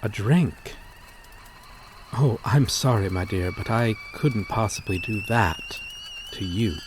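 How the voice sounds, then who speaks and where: A man playing a gentle, courteous vampire in an intimate ASMR roleplay, speaking in a formal, hesitant, apologetic way. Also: on the low side, slow pace, noisy background. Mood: courteous, grateful. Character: courteous, gentle male vampire stranger, kindly and old-fashioned.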